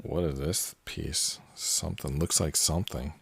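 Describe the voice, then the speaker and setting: Low-voiced man rambling over a puzzle. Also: unhurried, creaky, husky, no echo. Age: 40s. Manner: rambling, relaxed, many fillers and tangents. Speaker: puzzle channel host. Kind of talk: casual solo commentary while solving a puzzle.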